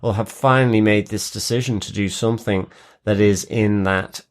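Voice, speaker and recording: A man co-hosting a podcast, giving his opinions in casual chat with measured, qualified phrasing. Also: lowish voice, steady tone. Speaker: podcast co-host.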